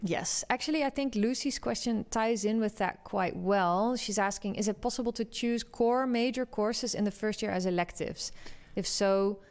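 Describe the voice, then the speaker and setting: Clear-voiced woman moderating a panel. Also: mid-range voice, normal speed, a little mellow. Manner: friendly, guiding. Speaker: host and moderator. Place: bright studio set. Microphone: desk microphone.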